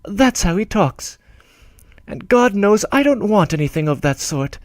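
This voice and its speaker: Guy doing a fretful young bride, voice pushed up high, jumpy and worried, dry close sound. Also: sing-song, no room sound. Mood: anxious pleading. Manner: hurried, fretful letter-reading. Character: young woman, anxious bride-to-be, pleading. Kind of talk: audiobook letter reading.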